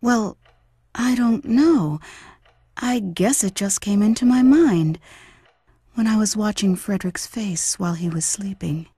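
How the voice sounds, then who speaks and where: Mellow, husky, reflective woman. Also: mid-range voice, a little slow, no room sound, studio quality. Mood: hesitant. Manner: hesitant, musing. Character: soft-spoken, reflective woman musing on dreams. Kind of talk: video game voice acting.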